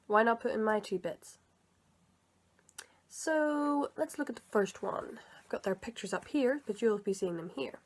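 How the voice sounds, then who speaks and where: A woman chatting casually to her viewers, voice sitting a little on the high side. She rambles and corrects herself mid-sentence, and she sounds a bit under the weather. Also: darkish tone. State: a bit sick. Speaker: video creator and comic dub reader. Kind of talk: casual commentary vlog.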